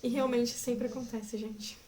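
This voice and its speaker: A woman's voice: on the high side, level tone, measured pace, dark tone, poor audio. A woman in her twenties chatting casually to camera as a vlogger, giggly and rambling. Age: 20s.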